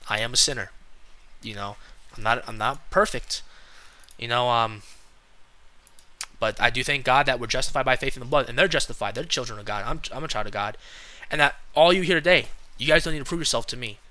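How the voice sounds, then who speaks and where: Young male lay preacher, twenties, very bright tone, earnest and halting with fillers. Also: medium pitch. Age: 20s. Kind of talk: casual spoken sermon to camera.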